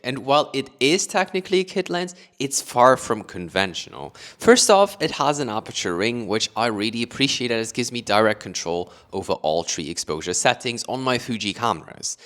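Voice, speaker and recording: Lively Luxembourgish man reviewing lenses. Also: medium pitch. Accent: international mix, Luxembourgish with British-taught English. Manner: explanatory, rephrases with "let's say" and "additionally". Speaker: photography YouTuber. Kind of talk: talking to camera about gear.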